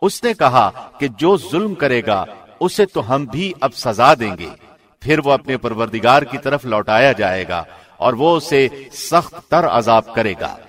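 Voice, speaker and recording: A man reading a scripture translation in a formal, measured radio presenter's delivery. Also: medium pitch, expressive, fairly bright tone. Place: studio.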